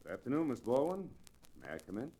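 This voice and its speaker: An actor playing a cold, deliberate, crooked storekeeper villain in an old-time radio western. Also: male voice, medium pitch, wildly swooping pitch, noisy background. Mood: coldly businesslike. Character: menacing, smooth-talking crooked male storekeeper villain. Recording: restored vintage radio drama recording.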